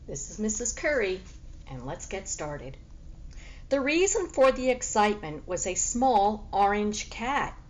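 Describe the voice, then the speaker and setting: A woman narrates a children's story in the manner of a teacher reading to pupils. Her delivery is unhurried, with animated, widely varied intonation. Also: medium pitch, slight background noise.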